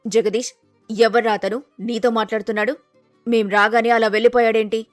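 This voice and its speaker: Woman acting bossy storybook mother-in-law, accusing, scolding, demanding, fairly high voice, close dry sound. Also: flat delivery, fairly quick, no echo, soft background music, natural voice. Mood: angry, scolding. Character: domineering, suspicious, scolding middle-aged mother-in-law.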